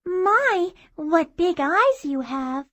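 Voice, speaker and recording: A woman's voice: very high voice, big pitch swings, very slow, a bit bright, no room sound, exaggerated voice. A woman playing a sweet, innocent little girl in a children's fairy tale, polite and wide-eyed with a sing-song delivery. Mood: wondering.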